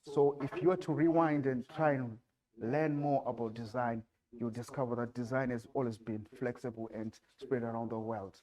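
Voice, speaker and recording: A man from Zimbabwe giving a design talk with slides in a lecture hall, speaking haltingly with many fillers and restarts. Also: mid-range voice, fairly even intonation, mellow. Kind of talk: presentation with slides.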